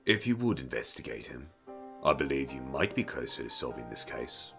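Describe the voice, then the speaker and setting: A man playing a haughty, gruff fantasy village mayor who talks in a formal, condescending way in an audio drama. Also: very deep voice, big pitch swings, normal speed, fairly bright tone, soft background music, exaggerated voice. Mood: disdainful. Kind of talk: fantasy audio roleplay acting.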